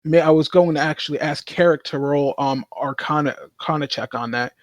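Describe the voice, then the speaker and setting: A man with a fairly high voice talks casually as a player in a tabletop roleplaying game. He is hesitant, rewording himself as he goes, and the recording sounds dry and close. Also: fairly quick, dry recording.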